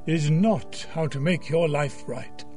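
High-voiced, earnest, unhurried priest. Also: male voice, a little mellow, faint music behind. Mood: earnest, admonishing. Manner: measured, earnest, counseling. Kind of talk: audio drama acting.

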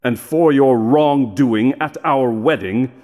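A man's voice: medium pitch, slow pace, mellow, close to an everyday voice. An actor playing a commanding fairytale king in an audio drama, regal, firm and formal. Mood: stern.

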